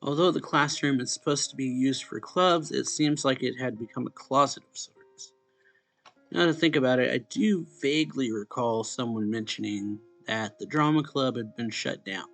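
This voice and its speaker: A man with a noticeable vocal fry and a mid-range voice reads story text aloud for a let's play. His delivery is halting, with stumbles and repeated phrases, and music plays faintly behind him. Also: mid-range voice, fairly bright tone. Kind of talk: reading a visual novel aloud.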